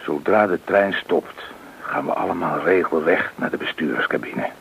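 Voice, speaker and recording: An actor playing a methodical, businesslike robber gang leader with a gravelly, husky voice in a Dutch radio play. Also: male voice, a bass voice, moderate pace, fairly bright tone, a little hiss or hum, heavily acted. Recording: dull, muffled old radio recording.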